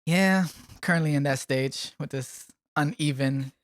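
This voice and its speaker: A woman's voice: low-pitched, unhurried. A woman co-hosting a podcast in casual chat, tossing in playful quips. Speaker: podcast co-host.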